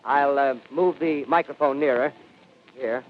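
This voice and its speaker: An actor playing a live on-scene radio reporter in a radio drama, talking in breathless commentary with hesitant fillers. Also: male voice, mid-range voice, unhurried, lightly acted. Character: excitable male field radio reporter. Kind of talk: 1930s radio drama acting. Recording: dull lo-fi radio recording, faint hiss.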